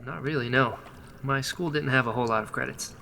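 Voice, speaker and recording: A man's voice: medium pitch, fairly quick, creaky. A man playing a cautious male rebel who was once a doctor, in a sci-fi audio drama, wary and skeptical. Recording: loud noise behind the voice.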